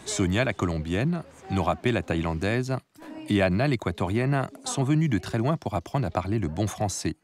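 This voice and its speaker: A man narrating a television report in a scripted, measured voice-over. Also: fairly low pitch, animated, a crowd in the background. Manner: addresses the listener directly, measured. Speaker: documentary narrator.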